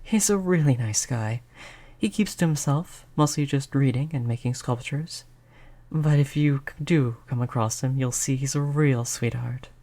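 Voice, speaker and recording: A performer voicing a gentle, polite vampire in an intimate roleplay audio, speaking in a soothing, conversational way at a medium pitch. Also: moderate pace, natural voice. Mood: friendly, reminiscing. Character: gentle, reassuring vampire, eighty years old, eternally polite. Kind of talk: roleplay audio drama, intimate one-on-one talk to listener.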